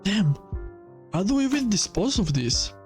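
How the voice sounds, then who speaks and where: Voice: mid-range voice, big pitch swings, normal speed, a little mellow, soft background music. A video game player reading on-screen dialogue aloud and reacting casually, with some swearing.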